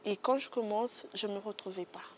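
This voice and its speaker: A young woman with a Congolese French accent, a photographer being interviewed in a studio, soft-spoken and hesitant with many pauses. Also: medium pitch, fairly even intonation, crowd noise. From Democratic Republic of the Congo. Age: young adult. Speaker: guest photographer. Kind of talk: interview answers.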